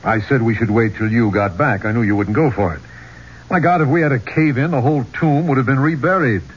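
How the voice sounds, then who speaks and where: An actor playing an affable but hot-headed archaeologist with a casual, blunt manner in a 1970s radio drama. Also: male voice, medium pitch, fairly quick, breathy edge, close to an everyday voice. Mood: defensive. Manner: casual, plain-spoken, blunt. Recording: muffled, noisy 1970s radio recording.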